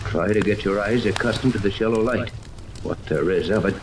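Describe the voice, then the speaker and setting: Casting: male actor for steady, authoritative sea captain, low register, vintage radio adventure, noisy recording with rain. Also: lightly acted. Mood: commanding, steady. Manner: authoritative, deliberate, gives orders. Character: commanding, level-headed male yacht captain leading an expedition. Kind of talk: 1930s radio serial acting. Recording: noisy 1930s radio, rain ambience over it.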